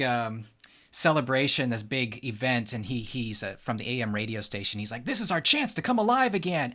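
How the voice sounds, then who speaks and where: A man's voice: medium pitch, bright, forceful tone. A man hosting a podcast, moving between prepared introduction and casual conversation with guests. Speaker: podcast host.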